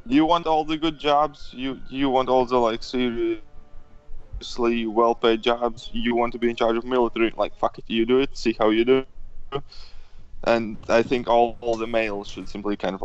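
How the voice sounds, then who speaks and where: A man's voice: mid-range voice, fairly even intonation, normal speed, smooth, dull audio. A man chatting casually on a live stream, blunt, profane and opinionated. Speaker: guest co-host on a live stream. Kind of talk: casual ranting chat.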